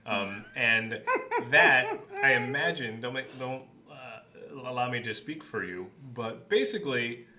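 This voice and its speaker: A man's voice: mid-range voice, measured pace. A man co-hosting a podcast in casual, joking conversation. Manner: joking, animated. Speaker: podcast co-host. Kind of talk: casual chat.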